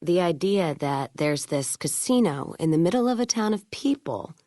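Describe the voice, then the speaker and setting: An actress playing a hard-drinking, foul-mouthed, bitter caravan trader in a video game, with a gruff, sardonic, blunt manner. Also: female voice, lowish voice, animated, normal speed, warm tone, no echo, clean studio sound, natural voice. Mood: wary, dry. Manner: gruff, sardonic, blunt frontier drawl of speech, dropped words. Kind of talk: video game character dialogue.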